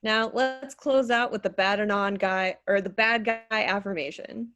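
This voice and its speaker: A woman playing a gentle, encouraging leader of a support group for game villains in a script reading. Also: medium pitch, unhurried, natural voice.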